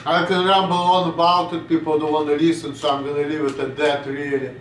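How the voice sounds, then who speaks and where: A man's voice: on the high side, flat delivery, brisk, a bit bright, reverberant, far from the mic, a little hiss or hum, poor audio. A man in his late twenties from Russia, with a Russian accent, rambling bluntly in a casual vlog and swearing now and then. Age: 20s. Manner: blunt, swearing, drifts off topic. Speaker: vlogger.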